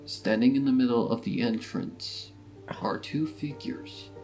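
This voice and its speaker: A man reading scripted dramatic story narration in a measured, solemn manner. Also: medium pitch, a little mellow, poor audio. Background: guitar music.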